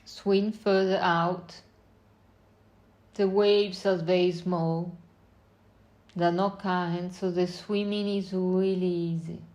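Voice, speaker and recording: Slow-paced woman guiding a meditation. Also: lowish voice, little pitch movement. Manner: unhurried short phrases with long pauses, gentle instructing. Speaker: meditation guide. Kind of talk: guided meditation, slow spoken visualization.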